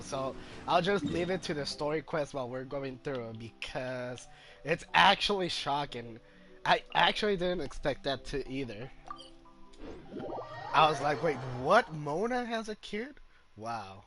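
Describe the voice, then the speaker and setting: A man giving casual playthrough commentary as a gamer, halting and musing as he goes. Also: a little high. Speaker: gamer, video host.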